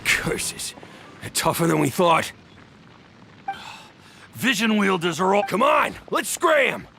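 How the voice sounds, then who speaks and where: Game street thug, gravelly, gruff, blustering, high-pitched for a man, music behind. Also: sing-song, takes it slowly, exaggerated voice. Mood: rattled, urgent. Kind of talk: anime game voice acting.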